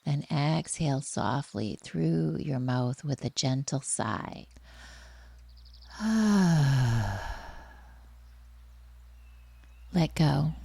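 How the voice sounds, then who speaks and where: A woman with a soft, breathy voice gently leading a guided relaxation meditation in a soothing, unhurried manner. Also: deep voice, no echo.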